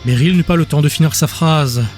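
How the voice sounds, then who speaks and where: A man's voice: a little high, fairly quick, darkish tone. A man narrating a scripted fantasy audiobook in a dramatic storytelling style. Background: music.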